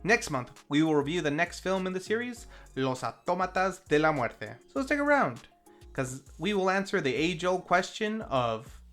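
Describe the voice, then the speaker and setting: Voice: mid-range voice, fairly bright tone. A film review host narrating a scripted recap in a casual, playful storytelling manner.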